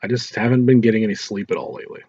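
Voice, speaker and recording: Man voicing a weary troubled teenage boy, husky. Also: fairly low pitch, fairly even intonation, very fast, a little mellow. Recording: roomy echo, dull lo-fi sound.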